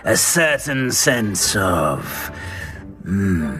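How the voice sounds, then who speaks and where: Slow, creaky ancient talking hat. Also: male voice, low voice, very animated, over music, exaggerated voice. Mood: pondering. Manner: slow, pondering, drawn-out musing with hums. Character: ancient sentient sorting hat, musing and shrewd. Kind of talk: video game voice acting.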